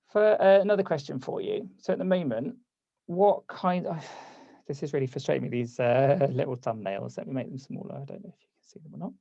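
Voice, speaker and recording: Gravelly guy running a zoom workshop, losing his voice, dark tone, kinda dull dry sound. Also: on the high side, no room sound. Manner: casual, conversational, reads chat aloud. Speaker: workshop host. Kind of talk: live online workshop, coaching. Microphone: video call.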